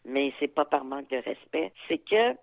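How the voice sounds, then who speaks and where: A woman's voice: extremely low pitch, animated, moderate pace, creaky. A woman in her seventies with a Quebec French accent, speaking frankly and thoughtfully as an interviewee, with fillers and asides. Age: 70s. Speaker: interviewee, activist. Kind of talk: reflective interview talk. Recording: tinny phone line.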